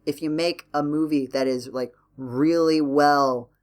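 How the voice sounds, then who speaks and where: A man's voice: fairly high pitch, fairly even intonation, measured pace, clean tone. A man hosting a podcast in casual discussion, with a wry, self-deprecating way of joking. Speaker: podcast host.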